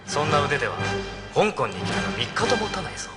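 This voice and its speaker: A man's voice: fairly high pitch, sing-song, brisk, loud music behind the voice. A man playing a cool, taunting enemy ace pilot in a Japanese audio drama. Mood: mocking.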